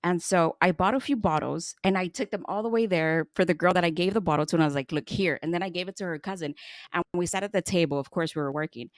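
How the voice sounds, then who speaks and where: A woman's voice: lowish voice, fast, no room sound. A middle-aged woman hosting a podcast interview, chatting casually, laughing often and restarting her sentences. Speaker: podcast host.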